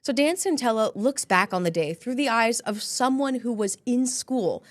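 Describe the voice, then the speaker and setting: Congested, bubbly news host. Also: female voice, a little high, lively intonation, moderate pace, no room sound, studio quality. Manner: chatty and bubbly, rising inflections. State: congested with a cold. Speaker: news anchor hosting a digital segment. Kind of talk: upbeat scripted news hosting.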